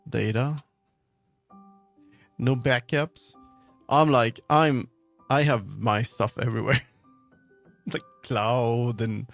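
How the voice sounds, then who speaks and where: A man livestreaming, chatting casually with viewers in a rambling, halting way as he muses aloud. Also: medium pitch, vocal fry. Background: background music.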